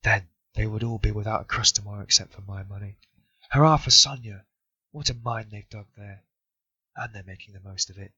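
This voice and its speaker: A man's voice: lowish voice, steady tone, brisk, bright, forceful tone, husky, dry recording, exaggerated voice. A man voicing a bitter, brooding young man muttering sardonic thoughts to himself. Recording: dull, dry recording.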